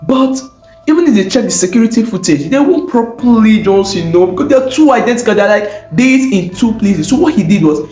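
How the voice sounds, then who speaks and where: A man with a West African accent telling a story in an animated, informal way, full of exclamations, repeated phrases and rhetorical questions. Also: sits high, brisk. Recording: roomy echo, faint music bed.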